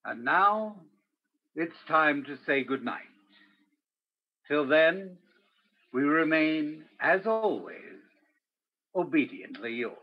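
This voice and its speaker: A man plays a theatrical vintage radio host, slow and grand, swooping widely in pitch. His voice is husky and creaky. The sound is slightly dull. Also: very animated, full character voice. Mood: courteous. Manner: grand, dramatic, deliberate. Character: theatrical mid-century radio host reciting verse. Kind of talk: 1940s radio acting, poetry recitation.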